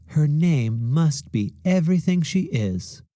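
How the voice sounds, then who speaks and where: A man's voice: on the high side, lively intonation, slow, warm tone, no echo, studio quality, pitched above the performer's own voice. A man voicing a tender, reassuring mother in a children's storybook. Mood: adoring.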